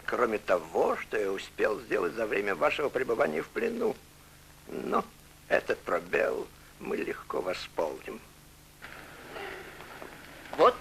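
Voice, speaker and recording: An actor playing a courteous, scheming German officer who talks politely and smoothly in a film. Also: male voice, on the high side, fairly bright tone, a little hiss or hum, exaggerated voice, poor audio. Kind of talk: film acting.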